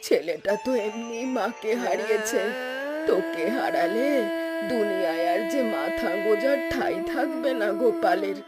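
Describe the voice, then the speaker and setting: A woman's voice: mid-range voice, animated, normal speed, over loud music, close to an everyday voice. A woman voicing a consoling, advice-giving village aunt in a cartoon. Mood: consoling, firm. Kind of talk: Bengali cartoon voice acting.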